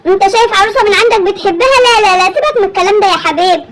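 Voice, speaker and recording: A woman's voice: extremely high pitch, rapid-fire, crowd noise. A woman with an Egyptian accent playing a pushy rural mother, coaxing and insisting as she arranges her grown son's marriage over a one-sided phone call, calling him "my son" again and again. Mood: insistent. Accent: Egyptian Arabic.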